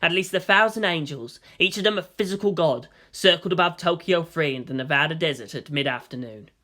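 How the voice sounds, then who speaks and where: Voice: medium pitch, a little fast, pushed and bright. A narrator reading a story aloud in a dramatic, scripted audiobook style.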